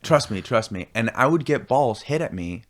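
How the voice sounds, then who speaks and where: A man born in India, a podcast guest telling animated stories and acting out people's voices in casual chat. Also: medium pitch, moderate pace. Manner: animated storyteller, acts out voices.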